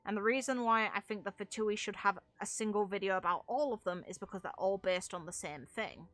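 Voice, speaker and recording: Young adult female gaming creator, forceful and blunt, off-the-cuff commentary, dry close recording. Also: medium pitch, fairly quick, no echo. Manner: blunt, swearing freely. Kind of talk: casual opinionated game commentary.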